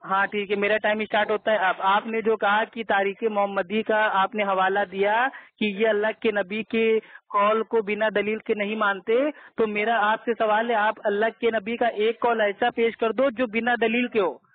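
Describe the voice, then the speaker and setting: High-voiced man on a tinny phone. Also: level tone, moderate pace. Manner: insistent, argumentative, repeats himself. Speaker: debater. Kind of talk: heated religious debate on a call. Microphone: phone call. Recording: tinny phone conference line.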